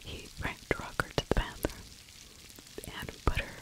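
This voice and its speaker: A middle-aged woman whispering breathily and close to the microphone, telling a true crime story in an ASMR style with halting pauses. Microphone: large microphone with furry windscreen, very close.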